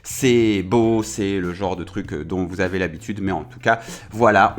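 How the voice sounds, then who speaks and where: A man hosting a casual football video, talking in a chatty way with many fillers and self-corrections. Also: lowish voice.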